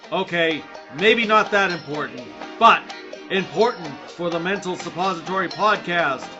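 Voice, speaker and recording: A man's voice: high voice, moderate pace, pushed and bright. A man hosting a podcast, reading scripted narration as an announcer. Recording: slightly muffled, music behind.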